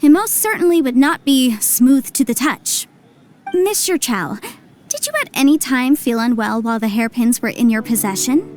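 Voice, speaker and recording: A woman's voice: sits high, expressive, normal speed. A woman playing a shrewd, confident young legal adviser in an anime-style video game, articulate, explanatory and businesslike. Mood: matter-of-fact, then deductive. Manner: articulate, explanatory, self-assured. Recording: soft music behind the voice. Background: game music.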